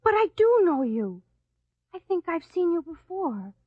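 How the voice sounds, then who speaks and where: A judge's young daughter who presses on insistently in a very high voice. The recording is a dry, dull old radio recording. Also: female voice, sing-song, measured pace, no echo, full character voice. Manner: insistent and probing. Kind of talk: vintage radio drama acting.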